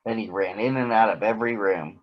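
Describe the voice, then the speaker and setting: A man with a husky voice reads a story aloud for children. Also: lowish voice, brisk, dull audio. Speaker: storybook reader. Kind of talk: read-aloud narration for children.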